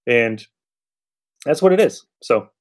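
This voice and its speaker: A man's voice: mid-range voice, expressive, slow pace. Irreverent, blunt man chatting casually. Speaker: podcast host. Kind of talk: casual chat, discussing an old radio show.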